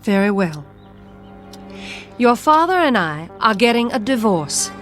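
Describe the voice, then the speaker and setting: A cold, embittered mother in a vintage drama. Her voice is fairly high and swings sharply in pitch, with curt, scolding phrasing. Music runs underneath. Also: big pitch swings, a little slow, fairly bright tone. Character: cold, stern, embittered mother of two children. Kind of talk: classic television drama acting.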